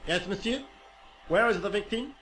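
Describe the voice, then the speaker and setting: An actor playing a fussy French police sergeant in a video game, officious and exaggerated, with a French accent. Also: male voice, high voice, normal speed, fairly bright tone, slight background noise.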